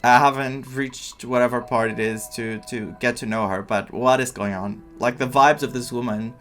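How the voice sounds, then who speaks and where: A man giving casual, excitable let's play commentary as a game streamer. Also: medium pitch, moderate pace. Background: game music.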